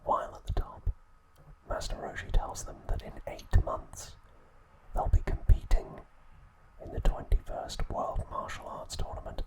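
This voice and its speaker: A man speaks entirely in a whisper, in the style of ASMR narration. He relays trivia like a story, softly and without rushing, keeping the delivery hushed and breath-led. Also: rough recording. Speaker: ASMR host.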